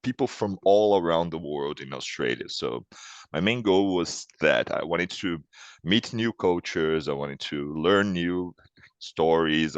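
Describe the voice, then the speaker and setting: Brazilian guy in his 20s, super deep voice, chatty, laughs a bit, dry close sound. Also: no echo, highs cut off. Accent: Brazilian Portuguese. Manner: thoughtful, frequent restarts and soft laughs. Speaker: international student, interviewee. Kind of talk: casual interview answers.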